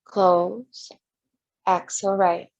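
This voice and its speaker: A woman yoga instructor leading a guided breathing meditation in short, gentle step-by-step cues. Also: mid-range voice, level tone, extremely slow, highs cut off.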